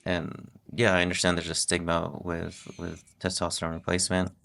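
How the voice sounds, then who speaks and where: Deep gravelly man, flat and relaxed. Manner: relaxed, halting, with many restarts. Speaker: podcast guest, host's husband. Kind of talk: casual interview talk.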